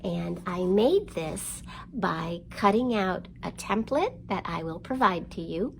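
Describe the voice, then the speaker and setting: A woman leads storytime for young children at a slow, careful pace. Her voice has a noticeable creak, and she speaks expressively in simple, encouraging language. A light layer of background noise sits in the recording. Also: on the low side, expressive, poor audio. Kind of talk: read-aloud storytelling and instruction for young children.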